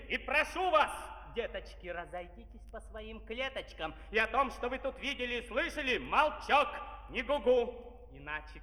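A man's voice: extremely high pitch, pushed and bright, heavily acted. A man playing a sly, gloating police spy in a children's audio play, speaking with mock-sweet courtesy and cooing diminutives over a threat. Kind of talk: theatrical children's musical radio play acting. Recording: 1970s vinyl record, long reverb.

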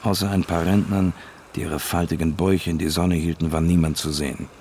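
An actor playing a hard-boiled private detective who narrates the story in a dry, laconic way, in a radio drama. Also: male voice, sits low, little pitch movement, brisk, darkish tone, slight background noise. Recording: music playing behind.

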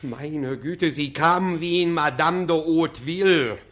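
An actor playing a fussy, deferential junior official in a German radio play, stammering with formal politeness and easily exasperated. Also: male voice, on the high side, measured pace, fairly bright tone. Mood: puzzled, flustered.

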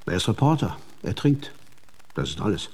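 An actor playing an evasive, persuasive touring showman of the Wild West in a German radio play, husky and slick. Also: fairly low pitch, sing-song, normal speed, darkish tone. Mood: exasperated, evasive. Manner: exasperated, wheedling, slick dealmaker.

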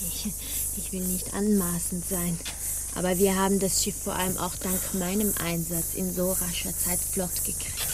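A woman's voice: lowish voice, flat delivery, moderate pace, darkish tone, natural voice, low-quality recording. Actress as a cool, defiant crew woman, hissy recording. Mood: formal, guarded. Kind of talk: stage-like radio drama acting.

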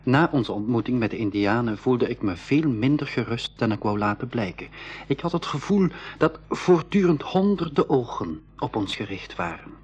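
Earnest young adventurer narrating a radio play, measured phrasing, husky mid-pitched voice. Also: male voice, expressive, darkish tone, lo-fi sound. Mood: tense narration. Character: Piet, boy adventurer recounting his journey, earnest. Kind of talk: scripted first-person storytelling in school radio play.

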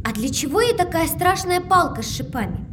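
A woman playing a curious, observant young girl with an inquisitive, expressive delivery in an educational audio drama. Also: very high voice, brisk, background noise.

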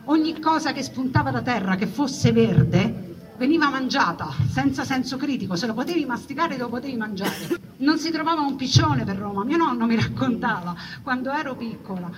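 A woman performs a theatrical narrative monologue, conversational yet emphatic, with dramatic pauses and repeated phrases. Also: high voice, animated, a crowd in the background. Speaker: stage storyteller performing own monologue. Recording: distant mic, noisy live ambience.

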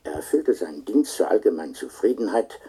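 An actor playing an impersonal official who reads a personnel record in a formal, clipped and detached way in a radio drama. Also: male voice, sits low, sing-song, fairly quick, dark tone, slightly rough, full character voice. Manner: clipped, detached, matter-of-fact.

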